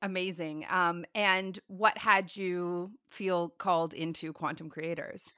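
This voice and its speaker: A woman hosts a podcast interview, asking questions in a friendly, somewhat hesitant way. Her voice sits fairly low. The sound is dry and close, with no room to it. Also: fairly even intonation, slow pace, pushed and bright, smooth, dry recording. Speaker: podcast host. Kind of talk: casual interview hosting.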